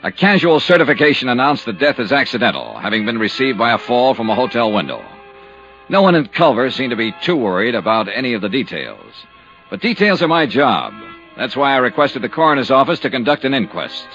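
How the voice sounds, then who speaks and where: A hard-boiled insurance investigator from a 1950s radio serial. His clipped, wry delivery is self-assured and moves widely in pitch. It sounds like a dull old broadcast with some music. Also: sing-song, fairly quick, fairly bright tone. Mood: brisk, narrating. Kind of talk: 1950s radio detective narration and dialogue.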